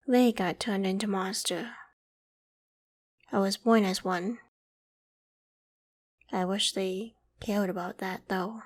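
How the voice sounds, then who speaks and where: A woman's voice: medium pitch, natural voice. A woman voicing a friendly, self-conscious young half-human werewolf who talks casually and protectively to the listener in an ASMR roleplay. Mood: hurt, wistful. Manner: casual, conversational, gently protective. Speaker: voice actor in audio roleplay.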